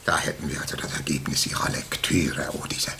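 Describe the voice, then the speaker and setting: Creaky, indignant old-school pastor. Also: male voice, a bass voice, little pitch movement, a little fast, in a whisper. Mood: wounded, insistent. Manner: pompous, reproachful, emphatic. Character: indignant, moralizing male pastor, rigid and pious. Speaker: radio drama actor. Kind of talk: classic German radio play acting. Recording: noisy archival radio recording.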